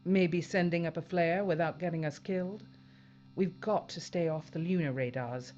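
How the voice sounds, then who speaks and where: A woman playing a weary, determined spaceship pilot and commander in a science fiction audio drama, brisk and businesslike with a wry edge. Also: lowish voice, darkish tone, muffled recording, soft background music, natural voice. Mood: measured, wry.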